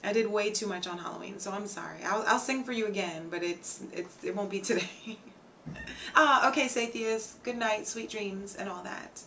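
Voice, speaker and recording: A young woman with a hoarse, tired voice, chatting casually with her viewers on a home live stream and filling her talk with "like" and "um". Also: mid-range voice, moderate pace, bright, forceful tone, recorded from a distance, slight background noise. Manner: chatty, reads out chat names, many fillers. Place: home streaming room with shelves.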